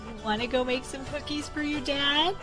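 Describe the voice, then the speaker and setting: A woman's voice: sits high, moderate pace, music in the background, close to an everyday voice. A woman playing a caring, fretting grandmother in an audio drama.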